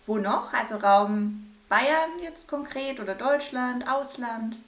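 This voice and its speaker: A woman's voice: a little high, slow pace, recorded from a distance. A woman hosting a wedding podcast in casual chat, gushing and laughing often as she asks her guest questions. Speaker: podcast host and wedding speaker. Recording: roomy echo with faint music.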